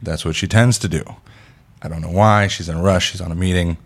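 Very deep-voiced man telling animated stories. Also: a little fast. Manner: animated storyteller, acts out quotes, swears freely. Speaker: podcast host. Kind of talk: casual chat.

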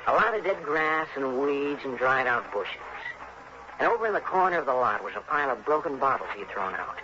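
An actor playing a harried, chummy insurance agent in an early 1960s radio drama, earnest, hurried and folksy. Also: male voice, mid-range voice, expressive, natural voice. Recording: noisy, dull vintage radio recording.

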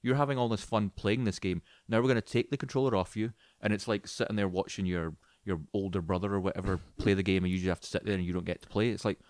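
A man's voice: fairly low pitch, steady tone, quick pace, few pauses, a little mellow, dry recording, studio quality. A man co-hosting a casual podcast, giving his opinions and often acting out imagined reactions. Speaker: podcast co-host and film reviewer.

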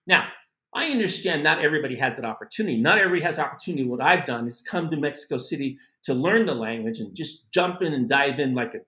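A middle-aged man from the United States giving a casual spoken language lesson, animated and chatty with asides. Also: fairly high pitch, reverberant. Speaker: language teacher.

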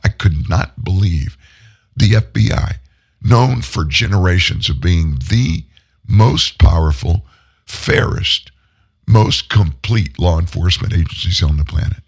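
A man with a deep, hoarse voice, unwell after a long flight, hosting a political talk show in a folksy, rambling, opinionated way. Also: expressive, a little slow.

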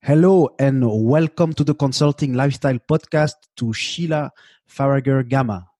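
A man's voice: medium pitch, moderate pace, dark tone, no room sound, clean studio sound. A man hosting an interview podcast. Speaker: podcast host.

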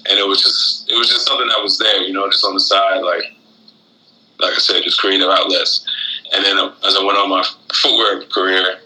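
A man from Florida chatting casually as an interview guest, halting and reflective as he talks. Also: low voice, little pitch movement, noisy background. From Florida, United States, born in Guyana. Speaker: interview guest, rapper and shoe designer.

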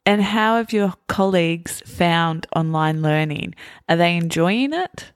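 A woman with a slightly low voice hosts a podcast interview. She asks her guest clear questions and thanks him warmly. The sound is close and dry. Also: expressive, a little slow, no echo. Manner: friendly, thanking and wrapping up. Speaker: podcast host.

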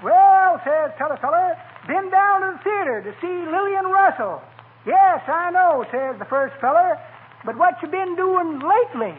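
High, cackling old-timer. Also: male voice, animated. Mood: jovial, teasing. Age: older. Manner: folksy drawn-out delivery with rustic grammar. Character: cackling old-timer man, folksy, teasing joke-teller. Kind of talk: 1950s radio comedy acting. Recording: noisy, narrow-band old radio recording.

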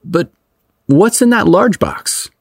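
A man acts out an eager, awkward guy on a date in an audio story. He is hesitant and teasing, with lively, swooping pitch and slow delivery in a dry recording. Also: vocal fry, no room sound, exaggerated voice. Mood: playfully teasing.

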